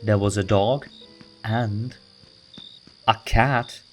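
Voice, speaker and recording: Storybook narrator reading for little kids, medium pitch, music playing underneath. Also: steady tone, extremely slow. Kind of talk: scripted read-aloud narration for children.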